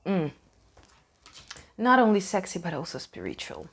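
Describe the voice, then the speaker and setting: A woman from Ukraine, a native Russian speaker with a Russian accent, casually hosting a tarot reading in a halting, emphatic way, searching for words. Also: on the low side, wildly swooping pitch, vocal fry, a bit bright, slightly rough. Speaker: tarot reader hosting a channel.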